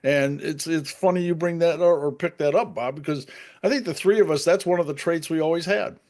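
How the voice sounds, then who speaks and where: A man's voice: fairly high pitch, fairly quick, darkish tone. A middle-aged man, a retired umpire, chatting casually and telling stories with frequent restarts. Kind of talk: casual chat.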